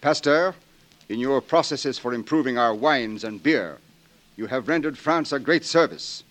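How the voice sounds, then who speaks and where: An actor playing an impatient French emperor in a 1940s radio drama, with a formal, pompous, commanding delivery. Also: male voice, medium pitch, measured pace, a bit bright, lightly acted. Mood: stern. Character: imperious, impatient French emperor holding court. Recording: old 1940s radio broadcast.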